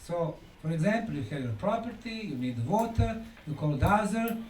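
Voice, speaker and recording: Male lecturer, high swooping voice, emphatic and deliberate, recorded in an echoey room. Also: sing-song, a little mellow. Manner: emphatic, deliberate, repeats key words for effect. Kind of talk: conference lecture. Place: conference hall lecture. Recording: echoey room, distant mic, faint noise.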